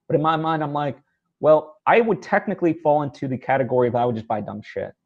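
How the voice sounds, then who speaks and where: A man hosting a casual interview, speaking in an earnest, hesitant way with halting phrasing. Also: fairly high pitch, vocal fry, phone-quality sound. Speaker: interview host. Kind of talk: casual one-on-one interview conversation.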